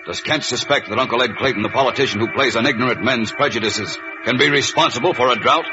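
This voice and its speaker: Dramatic American male radio narrator over loud music. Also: mid-range voice, fast. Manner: dramatic, suspenseful, declamatory. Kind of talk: 1940s radio scripted narration. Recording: muffled old radio broadcast recording.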